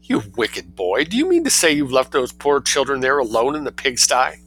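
A man's voice: mid-range voice, big pitch swings, moderate pace, fairly bright tone, a little hiss or hum, voice pushed up. A man voicing an anxious well-to-do mother who scolds in a shocked way. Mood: alarmed.

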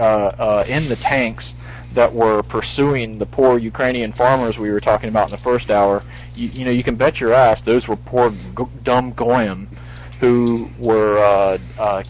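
A man's voice: medium pitch, fairly even intonation, moderate pace. Full-voiced male talk radio host. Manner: rambling, full-voiced, many restarts. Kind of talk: casual call-in talk radio. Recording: lo-fi radio stream with faint hiss.

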